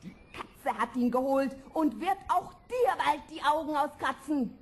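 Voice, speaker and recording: An actress playing a cruel, gloating old sorceress in a fairy-tale audio drama, with a venomous, mocking delivery. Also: female voice, high voice, lively intonation, a little slow. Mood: mocking, menacing.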